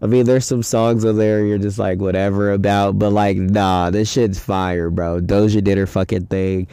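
A man's voice: lowish voice, flat delivery, normal speed, dark tone, clear, resonant tone, dry recording, clean studio sound. A young man hosting a casual solo podcast, talking in a loose, rambling, slangy way with a stuffy nose from a head cold. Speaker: podcast host.

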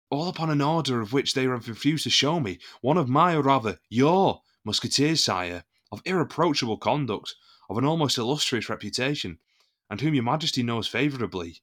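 An actor playing a proud, indignant musketeer captain in an audio drama, formal and oratorical. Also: male voice, mid-range voice, fairly bright tone. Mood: formal indignation.

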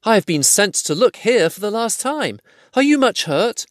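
A man's voice: high voice, big pitch swings, moderate pace, dry recording, voice pushed up. A man voicing a grave, formal male warrior who speaks solemnly. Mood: earnest. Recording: dry close recording.

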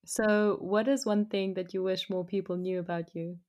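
A woman's voice: mid-range voice, fairly even intonation, moderate pace, darkish tone. A woman interviewer asking questions in an upbeat, encouraging way.

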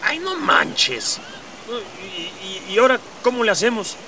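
A man playing a naive, soft-hearted young adventurer in a radio drama, with a colloquial Mexican accent. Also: sits high, wildly swooping pitch, slow pace, recorded from a distance, exaggerated voice. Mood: alarmed. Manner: colloquial, slangy.

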